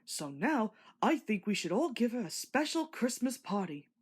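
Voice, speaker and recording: Cheery young boy engine for a storybook, played by a woman: high, lively, excitable, earnest. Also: normal speed, breathy edge. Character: cheerful, eager young male steam engine.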